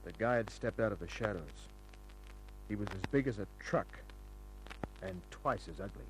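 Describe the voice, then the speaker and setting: A man's voice: lowish voice, normal speed, lightly acted, low-quality recording. Man acting wry hard-boiled radio private eye. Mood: wry narrating. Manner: wry, dry, smooth-talking. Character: cocky wisecracking male private detective, narrating his own case. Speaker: actor narrating and playing the lead. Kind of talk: hard-boiled old-time radio detective drama. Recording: old radio recording with music.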